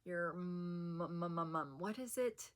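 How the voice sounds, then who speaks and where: A woman talking casually to her viewers as a haul vlogger, rambling with soft fillers and a soothing voice. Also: on the low side, takes it slowly. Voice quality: soft and soothing, said to put people to sleep. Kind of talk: casual chat to camera.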